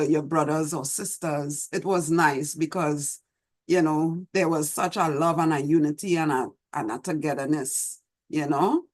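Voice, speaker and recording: Trini lady, middle-aged, takes her time, soft reminiscing poetry read on a video call. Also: low-pitched. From Trinidad and Tobago. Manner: slow, gentle and reminiscing. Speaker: host. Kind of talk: reflective talk and poetry reading. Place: small room at home. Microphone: video call webcam.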